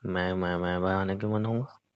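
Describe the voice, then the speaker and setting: A very low voice in a dull recording. A young man acts a lazy, sheepish boyfriend in a couple's phone-call drama. He dodges and makes casual, defensive excuses with a whiny edge. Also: steady tone, brisk, smooth, close to an everyday voice. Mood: sheepish, stalling. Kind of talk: acted phone-call conversation between a couple.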